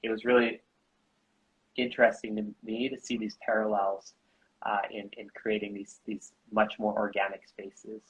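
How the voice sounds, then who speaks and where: A man from Canada and the US, co-founder of a bamboo architecture school, talking casually in an interview with halting restarts and fillers. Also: fairly low pitch, flat delivery, a bit bright, muffled recording.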